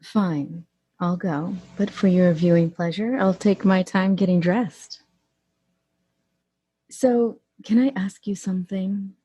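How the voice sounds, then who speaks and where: A woman acting in an audio drama as a bold, flirtatious, gossipy best friend with a sassy, expressive conversational manner. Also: mid-range voice, slow pace, breathy edge. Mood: teasing, flirtatious.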